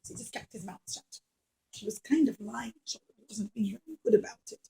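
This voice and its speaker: A high-voiced woman tells a story to children. She uses simple, emphatic phrasing and addresses her young listeners directly, like a teacher. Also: lively intonation, fairly quick, creaky, a crowd in the background, low-quality recording. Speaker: children's puppet show storyteller. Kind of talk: animated storytelling for children, asking questions.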